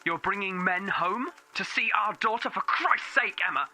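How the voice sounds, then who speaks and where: A man's voice: high-pitched, moderate pace, pushed and bright, soft background music, full character voice. A man playing a bitter, accusing divorced father in an audio drama, his voice run through a phone filter. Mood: jealous, angry.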